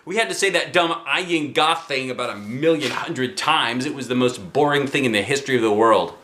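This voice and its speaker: A man, a dad, reading a children's book aloud with expressive, dramatic emphasis. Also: medium pitch, fairly quick, reverberant. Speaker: storybook reader, a dad.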